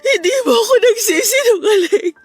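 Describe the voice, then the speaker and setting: A woman's voice: very high-pitched, expressive, moderate pace. An actress with a Filipino accent playing a distraught, remorseful young woman in a radio drama, pleading over music. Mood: tearful pleading. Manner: pleading, tearful delivery.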